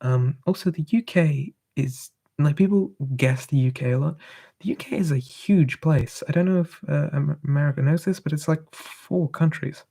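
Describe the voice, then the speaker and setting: Husky, creaky whisperer. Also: mid-range voice, moderate pace, hardly any pauses. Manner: soft, drifting between whisper and quiet voice, musing. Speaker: ASMR streamer answering live chat. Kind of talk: whispered ASMR live chat.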